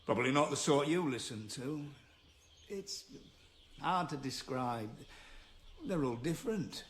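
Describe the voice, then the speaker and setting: An actor playing a gruff, reclusive birdwatcher in a naturalistic radio drama, halting and curt but with a wistful streak. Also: male voice, mid-range voice, animated, lightly acted. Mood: wistful, absorbed.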